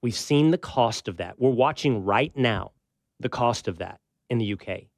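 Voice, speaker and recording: A man with an American accent hosting a talk show, giving conversational, emphatic political commentary with pauses for effect. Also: medium pitch, expressive, no room sound, clean studio sound. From United States.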